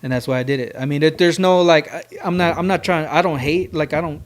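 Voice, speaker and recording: A man's voice: a little high, fast talker, a little hiss or hum. A man from Texas chatting casually as a podcast guest, agreeable and slangy. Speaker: podcast guest, local brand maker.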